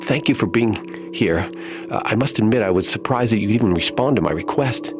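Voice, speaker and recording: A man's voice: fairly low pitch, expressive, a little fast, music in the background, pitched above the performer's own voice, natural voice. A man voicing a scrawny, nervous male poet who stammers and hesitates, desperate for success.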